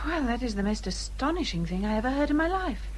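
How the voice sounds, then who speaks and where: An actress playing a gentle, reserved young English gentlewoman, polite and restrained, in a period radio drama. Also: fairly high pitch, big pitch swings, quick pace, a little hiss or hum. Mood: surprised, mild.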